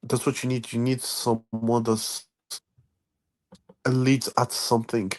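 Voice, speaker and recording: A man's voice: mid-range voice, level tone, measured pace. A man co-hosting a casual football chat, firm and opinionated.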